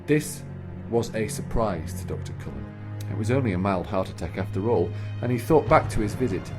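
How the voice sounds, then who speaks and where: A man narrating a scripted radio drama as storyteller. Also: on the low side. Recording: distant microphone under clear music.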